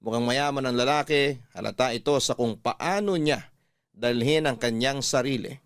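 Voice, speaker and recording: A man's voice: medium pitch, moderate pace, clean studio sound. Male storyteller with echoing voice. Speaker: radio drama narrator. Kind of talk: scripted first-person story narration.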